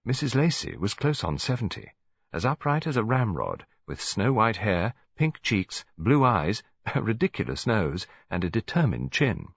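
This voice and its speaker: A man's voice: fairly low pitch, big pitch swings, moderate pace, vocal fry, breathy edge, no room sound, muffled recording. An English man reading a story as a scripted audiobook narration.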